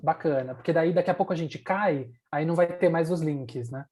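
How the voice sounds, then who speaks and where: Husky-voiced man moderating a call, relaxed and directive. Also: on the high side, fairly quick. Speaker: meeting moderator. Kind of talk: casual video call chat. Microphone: video call.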